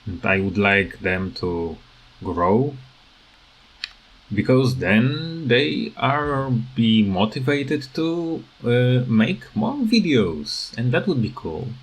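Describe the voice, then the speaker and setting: A tired man who is not a native English speaker, giving a casual unscripted rant in a halting, rambling way that repeats words. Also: mid-range voice, animated, husky, rough recording. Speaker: gaming video creator.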